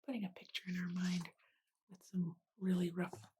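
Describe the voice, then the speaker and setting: A woman whispering gently and unhurriedly in a breathy, soothing ASMR style as she narrates her drawing. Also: fairly low pitch, little pitch movement, fairly quick. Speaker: ASMR artist and host. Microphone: USB desktop microphone. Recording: noisy close mic, clicks and writing.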